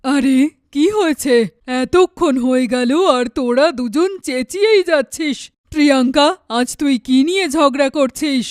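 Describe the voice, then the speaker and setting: Woman doing a high-voiced, firm middle-aged auntie. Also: moderate pace, few pauses, no room sound, studio quality, natural voice. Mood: annoyed. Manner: firm, reproving, motherly. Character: middle-aged mother and neighbour aunt, firm, scolding. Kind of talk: animated moral-story cartoon acting.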